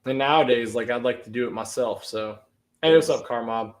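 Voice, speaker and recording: A man hosting a casual car livestream, rambling and restarting as he talks in quick bursts. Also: mid-range voice. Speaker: livestream host.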